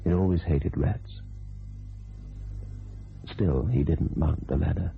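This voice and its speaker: A man narrating a grim crime thriller radio play in terse, deliberate sentences with a very deep, gravelly voice. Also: heavily acted. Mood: grim, suspenseful. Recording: tinny band-limited radio recording, music behind.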